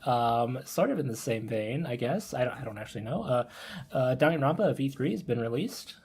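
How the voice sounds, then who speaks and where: A man's voice: medium pitch, expressive, fairly quick, darkish tone, slightly rough. A man hosting a podcast, casually running through news in a hesitant, hedging way. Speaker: podcast host.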